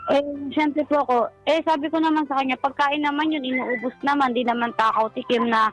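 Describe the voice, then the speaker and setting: A woman's voice: sits high, steady tone, a little fast, poor audio. A woman with a Filipino accent calling in to a talk show, recounting personal troubles in a polite, deferential way. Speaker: caller. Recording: background music under the voice. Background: music.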